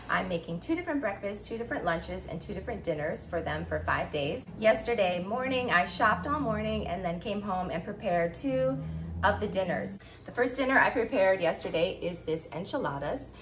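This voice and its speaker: A woman's voice: mid-range voice, a little hiss or hum. A woman in her forties hosting a casual cooking vlog, chatty and enthusiastic, filmed in her home kitchen on a close phone camera. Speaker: vlogger and nutrition coach.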